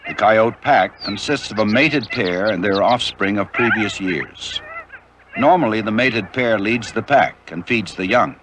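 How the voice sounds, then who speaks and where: A man narrating an educational nature documentary in a measured, informative way. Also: on the low side, noisy background. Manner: measured, even, informative delivery. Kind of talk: scripted narration.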